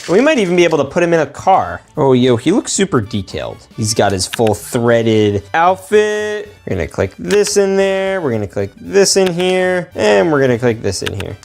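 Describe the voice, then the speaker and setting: A man giving excitable, slangy, playful commentary as he builds toys for a video. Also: a little high, moderate pace, gravelly, few pauses, soft background music. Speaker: toy-building video host.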